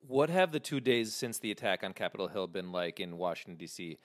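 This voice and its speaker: A man's voice: low voice, lively intonation, brisk. A man hosting a podcast, asking interview questions in a plain manner. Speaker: podcast host.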